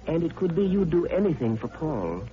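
A man's voice: fairly high pitch, big pitch swings, moderate pace, crowd noise. A man acting as a cynical, needling newspaper rewrite man in an old radio drama, wry and insinuating. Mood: needling, suspicious. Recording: muffled vintage radio recording.

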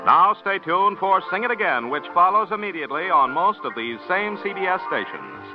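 A man's voice: high-pitched, very animated, moderate pace. An American man reading scripted closing credits as a radio network announcer in a vintage broadcast style. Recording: dull old radio recording, music behind.

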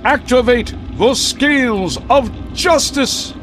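An actor playing a pompous, melodramatic millionaire vigilante in the style of an old radio serial, with grand theatrical proclamations. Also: male voice, very high-pitched, takes it slowly, music in the background. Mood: commanding. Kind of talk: 1940s radio serial acting.

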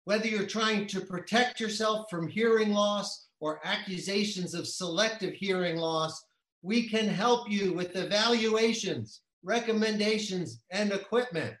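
Earnest radio-ad spokesman played by a man, unusually high voice, deadpan parody read in a roomy echo. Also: measured pace. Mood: earnest salesmanship. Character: earnest spokesperson for a hearing clinic. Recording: roomy, echoing sound.

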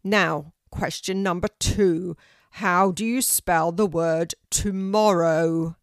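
A woman voicing a stern talking oak tree teacher in a children's storybook, loud and commanding with slow, deliberate delivery. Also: steady tone, no echo, clean studio sound. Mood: booming, formal. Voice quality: deep, loud. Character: booming, stern oak tree teacher setting spelling questions.

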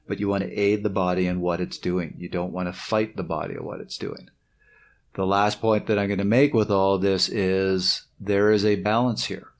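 A man hosting a podcast, giving a steady explanatory summary on training. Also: on the low side, creaky. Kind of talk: podcast discussion.

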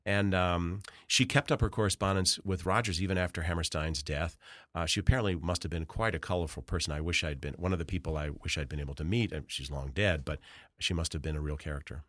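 A middle-aged man with a notably deep, low voice answers interview questions in a measured, anecdotal way, pausing on filler sounds as he thinks. The recording is dry and close, with no room sound. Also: a bit bright, no echo. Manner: measured, anecdotal storytelling with frequent "uh"s. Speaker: author and journalist guest.

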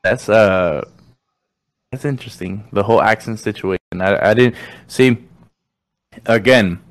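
A man's voice: lowish voice, unhurried. Slangy young man hosting a podcast. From Mexican American. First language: Spanish. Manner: slangy, says "you know what I'm saying" a lot, animated. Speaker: podcast host. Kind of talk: casual podcast chat.

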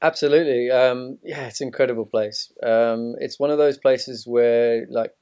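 A man in his thirties from Zimbabwe talks as a podcast guest. His voice is mid-pitched and recorded very dry. He tells his story in a casual, easygoing, conversational way. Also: fairly even intonation, moderate pace, darkish tone, clean tone, no room sound. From Zimbabwe, moved to England as a child. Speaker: podcast guest, ex-professional cricketer.

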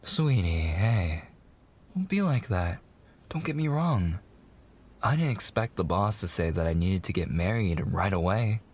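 Man acting a low-voiced young mobster fiancé, sweet and coaxing. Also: expressive, unhurried, breathy edge, exaggerated voice. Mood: flustered, reassuring. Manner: coaxing, hesitant, slangy gangster talk. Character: young mafia boss's son, eager, smooth-talking, sweet fiancé. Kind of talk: boyfriend ASMR roleplay, intimate monologue.

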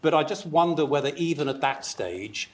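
A man's voice: fairly high pitch, expressive, moderate pace. A man interviewing a guest on stage, chatty and joking, often laughing as he asks questions.

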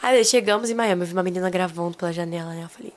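A young Brazilian woman, a podcast guest, telling a travel story in casual chat, animated and laughing, repeating phrases for emphasis. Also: on the low side, rapid-fire. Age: young adult.

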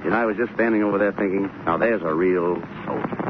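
A man's voice: low voice, quick pace, highs cut off, background noise, close to an everyday voice. An American actor playing a glib, falsely chummy army con man in a 1940s radio comedy, insistent and badgering, on an old broadcast. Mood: flattering, coaxing.

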